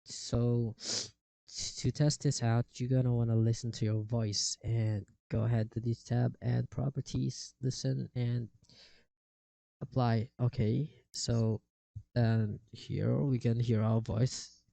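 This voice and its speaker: Flat-toned man explaining software, halting. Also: mid-range voice, gravelly, no echo. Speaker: tutorial presenter. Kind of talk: casual screen-recorded software tutorial. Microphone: cheap microphone with software processing.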